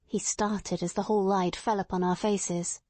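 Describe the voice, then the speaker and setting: A woman's voice: medium pitch, little pitch movement, a little slow, a little mellow, no room sound. A woman reading a story aloud as a scripted dramatic audiobook narration.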